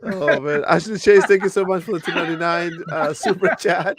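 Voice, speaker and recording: A man's voice: sits high, fairly quick, music in the background. A man hosting a casual livestream, laughing often as he reads out viewer super chats. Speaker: livestream host.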